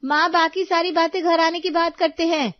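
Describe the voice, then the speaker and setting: A woman's voice: extremely high pitch, flat delivery, normal speed, dry recording, dull audio. A woman voicing a carefree, cheeky young woman in a cartoon story, breezy and playful. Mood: breezy, defiant. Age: young adult. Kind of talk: acted Hindi cartoon story dialogue.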